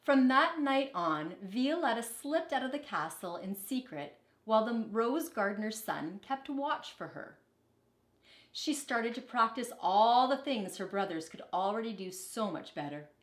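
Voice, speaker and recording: In an echoey room, a woman reads a picture book to children as a teacher would. She takes her time, shaping each phrase expressively at an unhurried pace, and pauses to explain things to young listeners. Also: fairly high pitch, big pitch swings. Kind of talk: children's storybook read-aloud with brief teaching asides.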